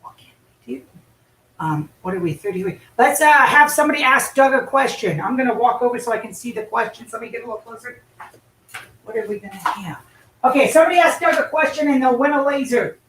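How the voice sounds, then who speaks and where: A woman's voice: fairly high pitch, animated, fast, fairly bright tone, slight background noise. A woman hosting a casual live stream, chatty and loose, talking through an in-ear microphone. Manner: chatty, loose, lots of fillers. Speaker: livestream host. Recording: echoey room audio.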